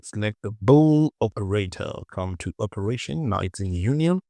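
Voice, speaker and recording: A man casually presenting a software tutorial, talking to himself as he works and repeating short phrases. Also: on the low side, lively intonation, moderate pace, dry recording.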